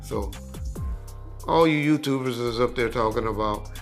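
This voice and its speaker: A man talks in a loose, conversational way, circling back and restarting as he makes his case. His pitch sits in an ordinary middle range. Faint music plays softly underneath. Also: moderate pace, vocal fry. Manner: informal, restarts often, chuckles through points. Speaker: commentator on a podcast clip. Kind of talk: casual spoken commentary.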